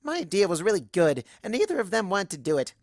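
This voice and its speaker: A woman voicing an excitable young female cartoon pony, bubbly and breathless, rambling through a first-person story. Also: mid-range voice, fast, dry recording, studio quality. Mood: sulky.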